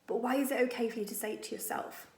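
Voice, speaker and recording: A woman confidence coach talking to camera in a casual, encouraging way, her voice husky from a cold. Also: fairly high pitch, quick pace, reverberant. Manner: chatty and encouraging, addresses viewers warmly as "my loves". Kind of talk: casual direct-to-camera advice.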